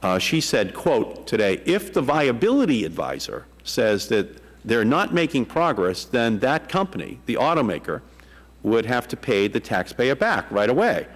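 A man's voice: mid-range voice, very animated, moderate pace, in a large hall. A man in his sixties chairing a congressional hearing, asking questions with many fillers and restarts, speaking into a desk microphone in a large hearing room. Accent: Boston. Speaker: committee chairman.

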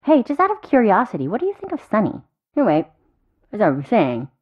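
A chummy, chatty young game character. Her casual voice bounces around in pitch on a slightly dull, lo-fi recording. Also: wildly swooping pitch, quick pace, husky. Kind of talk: video game dialogue acting.

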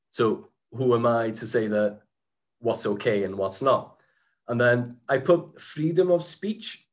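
A man, giving a casual presentation over a video call, talking in a chatty way with many restarts and fillers. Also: fairly low pitch. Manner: chatty, frequent restarts and fillers, occasional laughs. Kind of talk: informal slide-talk presentation. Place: small room indoors. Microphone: webcam on a video call.

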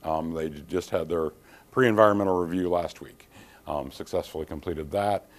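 A middle-aged man with a deep voice presents an engineering keynote in a darkened conference hall. He speaks in a measured, careful way, pausing on ums and restarting phrases as he explains each slide. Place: dim conference hall, standing by projector screen.

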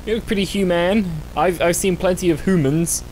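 A man's voice: moderate pace, darkish tone, low-quality recording. A young man hosting a gaming video with casual reaction commentary. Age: young adult. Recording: clear rumbling game noise behind. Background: horror game rumble.

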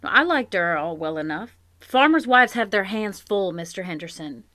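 An actress playing a farm wife who gives guarded, pointed replies in a live radio play, recorded at home over a video call. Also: female voice, mid-range voice, expressive, moderate pace, bright, forceful tone, no echo. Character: sharp, loyal farm wife defending a neighbor. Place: home closet or desk. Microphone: home mic over video call.

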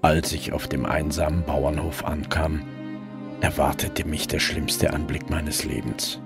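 An actor playing a sober, weary police detective, narrating a radio crime drama in a measured, reflective way. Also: male voice, a bass voice, little pitch movement, vocal fry, over music, exaggerated voice.

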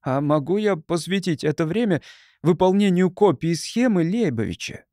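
A man's voice: sits high, expressive, moderate pace, warm tone, no room sound, clean studio sound, pitched above the performer's own voice. A man voicing an earnest, stubborn young novice monk in an audiobook.

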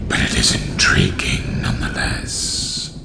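A man voicing a sinister, calculating villain in a horror game, gravelly and breathy, with measured, ominous, theatrical delivery. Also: background noise, natural voice. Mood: intrigued, sinister. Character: sinister, calculating murderous male inventor turned undead villain. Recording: roomy echo, loud rain and thunder.

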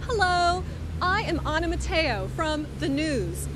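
This is a middle-aged woman reporter outdoors with a handheld mic. Her voice is high and lively, and she speaks with careful, upbeat clarity. Music plays behind her. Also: a little slow, noisy background. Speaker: reporter host of an English lesson. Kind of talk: slow, clear scripted interviewing. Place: outdoors on city streets. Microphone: handheld microphone.

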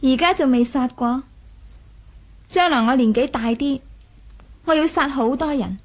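High-voiced proud young princess. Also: female voice, a little slow. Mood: proud, ambitious. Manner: teasing, imperious, wistful. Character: proud, coquettish young Mongol princess, bold and probing. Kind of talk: Cantonese 1980s radio drama acting.

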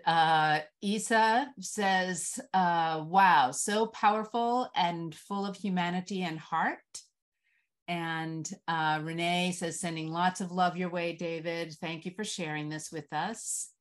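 A woman hosting and moderating a discussion over a video call. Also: lowish voice. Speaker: host. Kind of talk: reading audience comments aloud, moderating.

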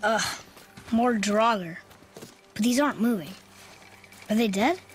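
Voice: mid-range voice, takes it slowly, a little hiss or hum, natural voice. A young performer playing an eager boy, a warrior's son anxious to prove himself, in a video game. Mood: curious. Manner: youthful, eager, inquisitive. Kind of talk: video game character acting.

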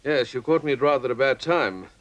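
An actor playing a self-important Member of Parliament with a South African accent, brisk and pompous, in a film comedy. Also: male voice, medium pitch, expressive, fairly bright tone, lightly acted. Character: self-important, busy male small-town Member of Parliament.

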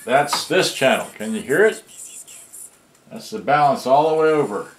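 A man's voice: mid-range voice, expressive, moderate pace. A man giving a casual, rambling demonstration at a workbench, muttering to himself as he goes. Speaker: hobbyist repairer showing a vintage boombox. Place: cluttered indoor workbench. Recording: roomy, noisy home camera recording.

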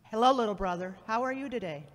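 An actor playing a caring but frank older sister who probes and admonishes, in an amateur audio drama. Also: female voice, fairly high pitch, lively intonation, fast talker, close to an everyday voice. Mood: probing, teasing. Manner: probing, admonishing. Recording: reverberant hall-like sound.

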